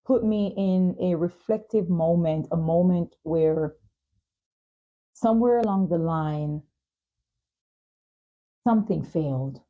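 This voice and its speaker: A woman hosting a podcast interview, speaking in an earnest, measured, reflective way with frequent pauses. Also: on the low side, phone-quality sound. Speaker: talk show host and advocate.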